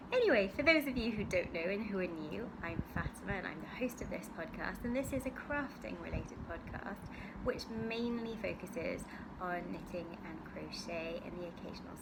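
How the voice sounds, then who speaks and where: A woman from the UK hosting her crafting video podcast from home, chatting casually and rambling with many ums. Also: fairly low pitch. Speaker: podcast host. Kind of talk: casual chat to camera. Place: home in Singapore. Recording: loud background noise.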